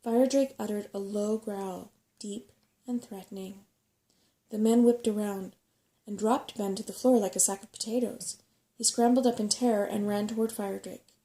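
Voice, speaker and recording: A soft-spoken woman reading a bedtime story in a gentle, unhurried ASMR style. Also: medium pitch, fairly even intonation. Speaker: audiobook-style reader.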